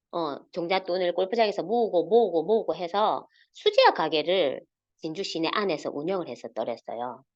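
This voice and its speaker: A woman in her forties with a Gyeongsang accent, giving a halting, filler-heavy guest talk over a video call. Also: dull audio. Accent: Gyeongsang Korean. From South Korea, Gyeongsang region. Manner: halting, many fillers, lively pitch swings. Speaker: guest speaker.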